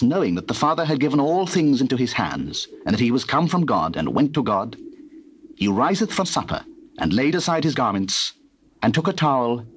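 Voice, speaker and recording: A man narrating dramatized scripture in a formal, theatrical storytelling manner. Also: on the low side. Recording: noisy old cassette recording.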